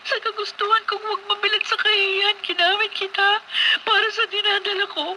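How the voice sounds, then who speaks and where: A woman's voice: very high voice, level tone, brisk, very noisy. An actress playing a spoiled, pleading young pregnant daughter in a radio drama, whiny and dramatic, mixing English into her speech. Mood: remorseful.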